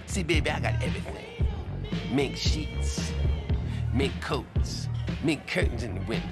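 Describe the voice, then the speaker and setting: Boastful game pimp, high voice, wildly animated, slangy, melodramatic, wheedling. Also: male voice, measured pace, over loud music, heavily acted. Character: flamboyant, boastful, fast-talking male pimp and club boss. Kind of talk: video game cutscene acting.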